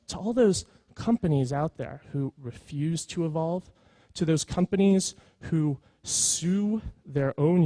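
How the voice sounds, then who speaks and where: A man's voice: on the high side, mellow, dry recording. A man presenting at a conference in an informal, earnest storytelling way, with emphatic points. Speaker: conference speaker, creative executive.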